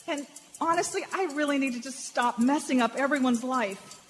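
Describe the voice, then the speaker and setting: Woman as melodramatic young-hearted mom, high voice, earnest, radio parody, reverberant hall, faint noise. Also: moderate pace, close to an everyday voice. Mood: despairing. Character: plucky, guilt-ridden single mom, earnest and melodramatic. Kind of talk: live radio parody acting.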